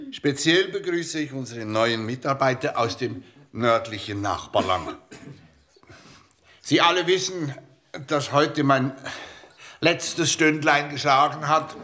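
An actor playing a retiring insurance boss in his sixties, giving a formal, halting farewell speech in a radio play. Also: mid-range voice, a bit bright. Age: 60s.

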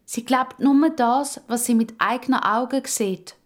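A woman's voice: fairly high pitch, a little slow, pitched above the performer's own voice, close to an everyday voice. A woman voicing a curious, outspoken young girl in a children's audio story, eager and emphatic, with a Swiss German accent.

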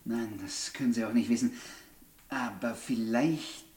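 A man's voice: mid-range voice, a little mellow, lightly acted. An actor playing a hesitant, lovesick, polite young man in a 1960s German radio play. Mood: downcast. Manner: polite, halting, flustered. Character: hesitant, lovesick young suitor, polite drifter heading for the provinces. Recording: roomy 1960s radio studio recording.

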